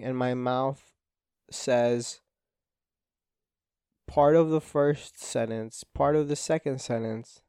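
A man's voice: mid-range voice, warm tone, clean tone, no echo, clean studio sound. A young man, a Spanish speaker, hosting a casual solo podcast, rambling and self-deprecating with lots of swearing and "like" fillers. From Spanish-speaking home. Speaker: podcast host.

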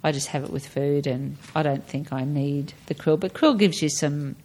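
A woman's voice: low voice, normal speed, a little mellow. A middle-aged woman with an Australian accent, chatting casually and explaining things as a podcast guest. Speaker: podcast guest discussing health and personal tastes. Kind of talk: casual chat.

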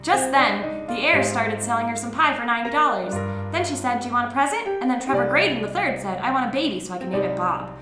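A woman's voice: fairly high pitch, expressive, quick pace. A woman co-hosting a podcast with playful, theatrical storytelling and casual banter. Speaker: podcast co-host. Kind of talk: comic dramatic reading and casual podcast banter. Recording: echoey room with music behind.

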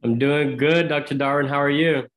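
Even-toned man from Jamaica, interviewed. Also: fairly high pitch, moderate pace. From Jamaica, moved to the US as a teenager. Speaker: podcast guest, dentist. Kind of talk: conversational interview answers.

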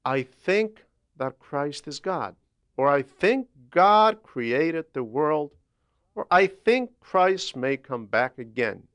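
A man with a slightly high, very clear voice reads a religious homily. He teaches in a deliberate, emphatic way, taking his time and pausing between phrases. The sound is dry, with no room around the voice. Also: animated, fairly bright tone, no echo. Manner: deliberate, emphatic, didactic with measured pauses. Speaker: translator reading a homily aloud. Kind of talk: religious homily, read aloud.

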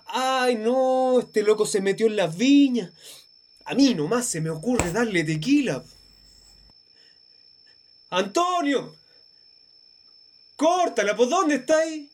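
A man's voice: extremely high pitch, slight background noise, heavily acted. An actor playing a worried, exasperated young man with a colloquial Chilean accent in a radio drama. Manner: colloquial, slangy. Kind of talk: naturalistic radio drama acting.

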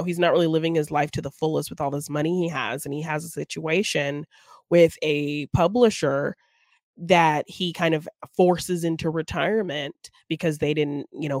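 A woman's voice: low voice, dry recording, studio quality. A woman co-hosting a film podcast, talking casually through a discussion of a movie.

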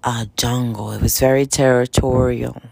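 A woman of fifty-eight speaks with a New York accent and plenty of gravelly fry in her voice. She gives informal, motivational talk straight to the listener. Her delivery is emphatic, with short phrases repeated like mantras. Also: extremely low pitch, steady tone, moderate pace, darkish tone, no echo. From Dominican Republic, raised in Washington Heights, New York City. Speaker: spiritual self-help vlogger.

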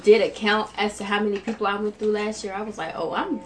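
A woman's voice: mid-range voice, steady tone, fast talker. A woman in her twenties, chatting casually as a podcast co-host and telling her story with laughs. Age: 20s. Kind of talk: casual chat. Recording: noisy home recording.

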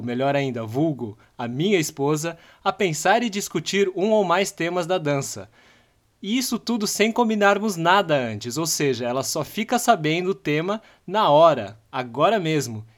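Upbeat man hosting a dance podcast. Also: fairly high pitch. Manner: upbeat, playful. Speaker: podcast host. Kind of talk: podcast intro and questions.

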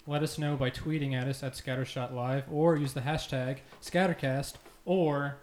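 A man's voice: medium pitch, steady tone, normal speed. A man hosting a casual group podcast with playful, mock-grandiose banter. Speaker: podcast host. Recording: echoey room, faint noise.

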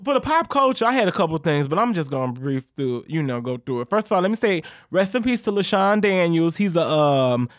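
A woman co-hosting a podcast, chatting casually in a blunt, profane, animated way. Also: on the low side, brisk, few pauses, no room sound, clean studio sound. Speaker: podcast co-host. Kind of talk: casual chat.

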